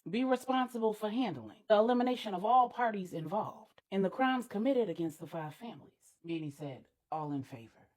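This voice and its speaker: A woman storyteller delivering dramatic scripted narration with deliberate, emphatic pauses. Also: mid-range voice, animated, darkish tone.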